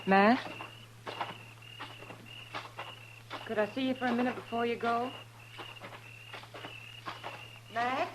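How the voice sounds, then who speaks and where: A woman's voice: a little high, fairly even intonation, quick pace, lightly acted. An actress playing a scheming frontier woman who feigns innocence, in a 1950s radio drama. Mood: pleading. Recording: muffled, noisy 1950s radio recording.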